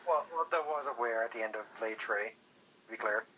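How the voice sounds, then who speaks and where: A man's voice: mid-range voice, brisk, sharp tone, breathy edge. A man chatting casually over the phone as a guest, hesitant and thinking aloud. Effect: telephone. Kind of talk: casual chat. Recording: noisy phone call.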